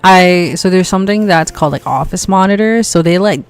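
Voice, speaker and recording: A woman from Canada, a streamer, chatting casually, talking fast with lots of "like" and quick laughs. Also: on the low side, smooth, soft background music. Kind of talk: casual chat.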